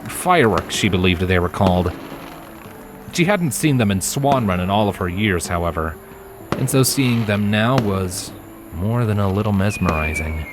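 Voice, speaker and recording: Male narrator, lowish pitch, lively intonation, expressive storytelling, music behind. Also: normal speed. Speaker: narrator reading fan fiction.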